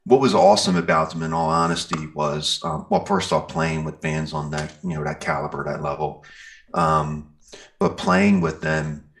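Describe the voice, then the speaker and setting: A very low-voiced American man with a gravelly, creaky edge. He talks casually as an interview guest, rambling through stories and leaning on "you know" and "like". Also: steady tone, moderate pace. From Pennsylvania, United States. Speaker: interview guest, metal band singer and guitarist.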